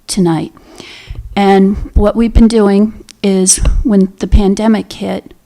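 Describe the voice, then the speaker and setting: A soft-spoken woman presenting on behalf of a senior center at a town meeting, speaking into a table microphone with frequent fillers. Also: medium pitch, flat delivery, slow. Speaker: senior center representative giving public comment.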